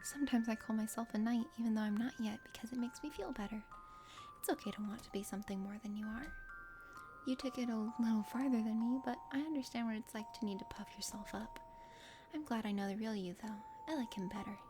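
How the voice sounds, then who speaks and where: A woman voicing a kind, earnest young aspiring knight in a breathy ASMR game reading. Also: on the high side, quick pace, lightly acted. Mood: forgiving. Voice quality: whispery, breathy. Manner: gentle, sincere. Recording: soft music behind.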